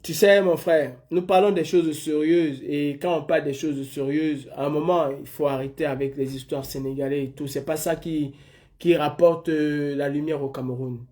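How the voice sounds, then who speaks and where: A man with a somewhat high voice hosts a live stream and takes on a caller in a heated argument. He lectures insistently and says the same point again and again. He comes from Senegal. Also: fairly even intonation, moderate pace, darkish tone. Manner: insistent, lecturing, repeats himself. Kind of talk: heated live call-in debate.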